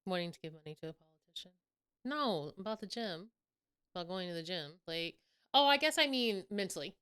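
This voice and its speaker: A young woman chats casually as a podcast co-host, her speech rising and falling in a flowing, expressive way. Her voice sits a little low and sounds smooth and clean. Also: fairly quick. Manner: giggly, expressive, with a flowing speaking melody. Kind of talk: casual chat.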